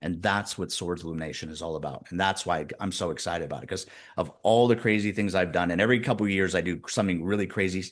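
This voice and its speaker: A man in his fifties with a fairly low voice tells his stories as an interview guest. His delivery is animated, speeding up and slowing down as he goes. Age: 50s. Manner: animated storyteller, speeds up and slows down. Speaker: guest, executive coach. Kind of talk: casual interview chat.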